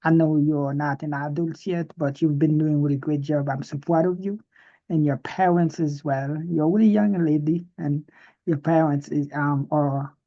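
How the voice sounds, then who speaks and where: A man's voice: a little high, fairly even intonation, moderate pace, warm tone. A man whose first language is Haitian Creole, an English teacher hosting an online class, heaping praise on students with stumbling restarts. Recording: muffled online call audio.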